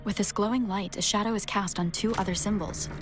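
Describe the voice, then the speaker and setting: An actress playing a thoughtful young adventuring heroine in a video game, reasoning carefully in monologue. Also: female voice, mid-range voice, fairly even intonation, fairly quick, lightly acted. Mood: pensive, focused. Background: music.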